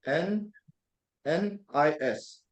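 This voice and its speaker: A man's voice: fairly high pitch, lively intonation, extremely slow. A man from Romania, an ordained monk, introducing himself and spelling his name carefully.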